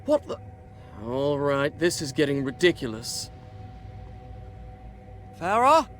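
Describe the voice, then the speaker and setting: Animated, high-voiced young prince. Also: male voice, takes it slowly, faint music behind, exaggerated voice. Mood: searching, exasperated. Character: young prince, proud, impatient, anxious adventurer. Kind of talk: dramatic video game acting.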